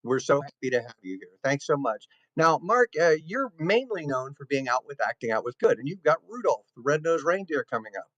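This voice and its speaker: A man with a slightly high voice hosts a casual interview, friendly and full of praise. His audio is dull and dry, like a lo-fi call mic. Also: very animated, a little fast, hardly any pauses, a bit bright, no room sound. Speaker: show host.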